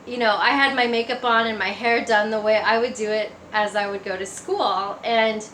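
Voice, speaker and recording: A woman's voice: on the high side, steady tone, normal speed, a bit bright. A woman giving a personal testimony in a casual, conversational storytelling way. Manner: conversational, acts out remembered remarks. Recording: echoey room, faint background noise.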